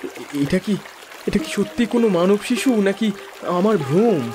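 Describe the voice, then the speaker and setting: A man's voice: high voice, lively intonation, normal speed, noisy background. An actor playing a poor, hopeful, kindly fisherman in a cartoon fairy tale, speaking earnestly and with feeling. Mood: astonished, moved. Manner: earnest, consoling, emotive.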